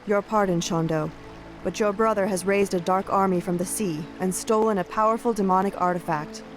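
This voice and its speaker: A woman's voice: medium pitch, fairly even intonation, brisk, faint music behind, natural voice. Woman acting an earnest young elven messenger. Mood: earnest, urgent. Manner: formal, earnest. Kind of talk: dramatic video game cutscene acting.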